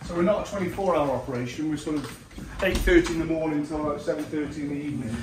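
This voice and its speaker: A man's voice: a little high, very fast. A middle-aged man giving an informal guided tour, explaining things politely. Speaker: air traffic services manager, tour guide. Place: small office rooms and stairwells. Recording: roomy, distant mic, clearly noisy.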